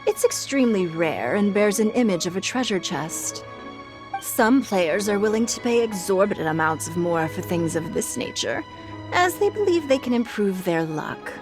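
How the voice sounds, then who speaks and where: A woman's voice: medium pitch, lightly acted. Woman playing a poised, refined businesswoman. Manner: measured, formal, eloquent. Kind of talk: game dialogue acting.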